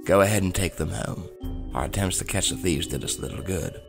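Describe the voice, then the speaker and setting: A man acting a protective, gentle but firm elf father in an audio drama. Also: deep voice, brisk, creaky, music in the background. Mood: weary, frustrated.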